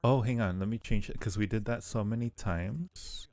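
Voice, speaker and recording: A man's voice: on the low side, moderate pace, darkish tone, no room sound. A man hosting a casual livestream, chatting playfully and affectionately with viewers in a rambling way, often repeating phrases. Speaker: livestream host.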